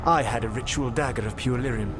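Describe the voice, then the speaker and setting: An actor playing a proud, wry, ancient elven trickster god in a video game, speaking formally and deliberately with dry sarcasm. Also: male voice, mid-range voice, very noisy, lightly acted. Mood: dry, resigned. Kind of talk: dramatic video game dialogue. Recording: game dialogue over prominent music.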